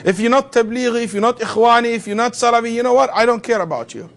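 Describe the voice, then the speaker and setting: Guy teaching a theology class, pretty high voice, keeps asking the room questions and cracking jokes. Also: fast. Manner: animated, asks the class rhetorical questions; joking asides with students. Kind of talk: classroom lecture.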